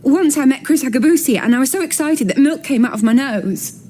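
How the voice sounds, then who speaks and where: Highish, gushing, chatty young woman. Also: expressive, fairly quick, warm tone, a little hiss or hum. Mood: starstruck. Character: chatty, starstruck young woman film extra. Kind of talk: sitcom acting.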